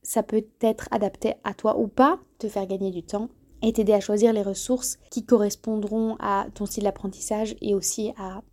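A woman in her early thirties hosting her podcast, speaking straight to the listener and sometimes correcting herself mid-sentence. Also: medium pitch. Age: 30s. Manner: warm, addresses listener directly, self-correcting. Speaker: podcast host. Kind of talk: solo podcast trailer monologue.

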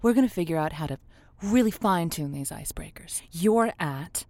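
A woman's voice: on the low side, expressive, a little fast, dark tone, no echo. A woman playing a playful, saucy radio advice host, teasing and encouraging her callers in an improvised audio comedy.

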